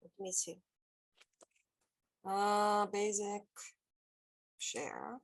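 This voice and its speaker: A woman's voice: medium pitch, drawn-out words, creaky. A woman with a Brazilian accent leading an online church training over a laptop video call, chatty and loose, with many fillers. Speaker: children's ministry trainer.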